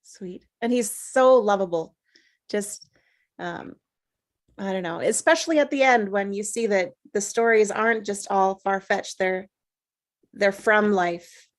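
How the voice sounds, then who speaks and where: A woman's voice: mid-range voice, unhurried. A woman chatting casually as a cast member of a table read. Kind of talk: casual chat.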